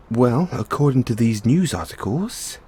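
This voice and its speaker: A man playing an eccentric, geeky mad scientist boyfriend in an audio roleplay, speaking in a husky, theatrical way. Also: mid-range voice, warm tone, exaggerated voice. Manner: theatrical, geeky, hesitant musing between outbursts. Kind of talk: audio roleplay acting, addressed to listener.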